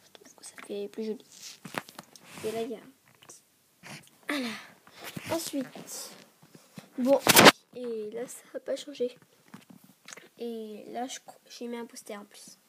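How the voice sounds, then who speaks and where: A woman with a stuffy voice from a cold, rambling quickly through a casual show-and-tell vlog and often dropping to a whisper. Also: on the high side. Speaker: vlogger showing a toy dollhouse. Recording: clear background noise and clicks.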